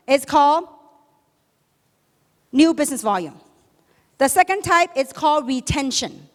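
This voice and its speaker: Female seminar speaker, Chinese accent, high voice, emphatic question-and-answer delivery, reverberant hall. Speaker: motivational business speaker. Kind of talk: motivational sales seminar talk to an audience. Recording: echoey hall, long reverb.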